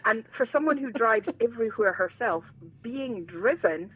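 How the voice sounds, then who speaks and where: A woman tells road-trip stories with animated, self-mocking humour. Her pitch swings widely and her voice is a bit husky. The recording sounds dull and lo-fi. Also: measured pace. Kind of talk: casual chat.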